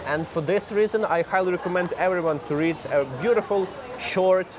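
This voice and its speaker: A man with a Ukrainian accent talks in an earnest, explanatory way, his voice sitting a little high. He is being interviewed outdoors on a city street, and loud traffic noise runs under every word. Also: fairly quick. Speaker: blogger guest. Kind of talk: conversational interview.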